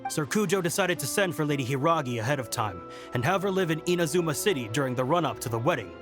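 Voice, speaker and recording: A man as a courteous, higher-voiced guard. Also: expressive, fast, close to an everyday voice. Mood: reassuring. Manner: formal, reassuring. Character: courteous, dutiful young male guard. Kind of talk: video game voice acting. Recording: game music behind the voice.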